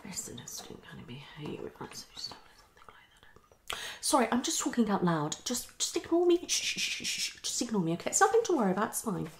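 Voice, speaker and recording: Woman's ASMR roleplay, lively swooping pitch. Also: fast. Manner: muttering and repetitive, slipping between whisper and speech. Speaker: ASMR performer playing a psychologist. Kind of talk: fast, unpredictable ASMR roleplay. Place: small living room, indoors.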